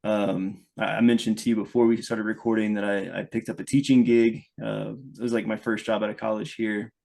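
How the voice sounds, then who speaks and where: A man's voice: on the low side, level tone, a little fast, gravelly, darkish tone. A man from Louisiana, a guest product manager, telling his story thoughtfully, with frequent restarts. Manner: thoughtful, restarts often, speeds up when engaged. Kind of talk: conversational podcast interview.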